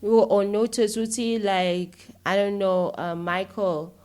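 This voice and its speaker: A woman's voice: mid-range voice, fairly even intonation, takes it slowly, darkish tone, clean tone. South African woman in emphatic conversation. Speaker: podcast guest, filmmaker and YouTuber. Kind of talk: casual, opinionated interview talk.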